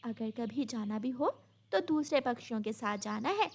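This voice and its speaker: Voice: medium pitch, expressive, normal speed, vocal fry. A woman playing a caring mother sparrow in a storytelling cartoon, speaking in a motherly, instructive way. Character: caring mother sparrow gently teaching her little chicks. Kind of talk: Hindi storytelling cartoon voice acting.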